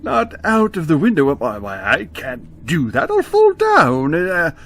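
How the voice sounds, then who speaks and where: A man playing a frightened, remorseful elderly miser in a radio drama, stammering and halting with theatrical emotion. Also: fairly high pitch, huge pitch swings, fairly bright tone, heavily acted. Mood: frightened, pleading.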